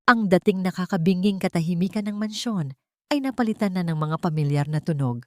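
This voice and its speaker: A woman narrating a drama audiobook in an expressive storytelling manner. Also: on the low side, brisk, no room sound, clean studio sound.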